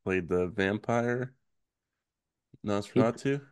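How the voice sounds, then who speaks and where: A man with a very low, hoarse, raspy voice, still getting over an illness, reads horror trivia questions aloud at an unhurried pace. The sound is dry and close, with no room around it. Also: no room sound. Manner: reads questions slowly, relaxed filler-heavy talk. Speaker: podcast host. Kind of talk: casual chat, reading trivia questions.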